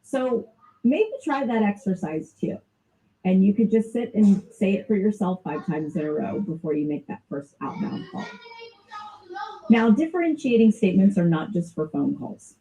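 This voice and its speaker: A woman's voice: medium pitch, big pitch swings, moderate pace, mellow, a little hiss or hum. A woman with a Southern US accent giving a casual, informal sales training lesson and laughing often. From American South. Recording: dull lo-fi recording.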